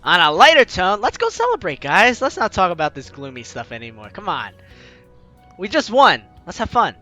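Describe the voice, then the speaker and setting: A man's voice: high voice, huge pitch swings, normal speed, pushed and bright, soft background music. A man hosting a let's-play, reading a visual novel aloud and breaking off to laugh and comment.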